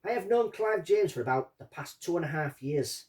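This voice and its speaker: A man reading out a witness statement and commenting on it as a true-crime host, in deliberate short phrases. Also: fairly high pitch, expressive, a little mellow. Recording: distant from the microphone.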